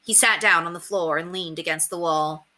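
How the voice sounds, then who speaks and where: A woman reading a children's story aloud with expressive, dramatic emphasis. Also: fairly low pitch, normal speed.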